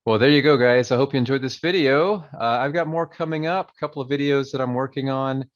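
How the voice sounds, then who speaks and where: A man hosting a video channel, casually reading old newspaper articles aloud and commenting on them in a relaxed, conversational way with some fillers. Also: mid-range voice, no echo. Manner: reads quoted passages slowly and emphatically. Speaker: channel host. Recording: dry, dull lo-fi audio.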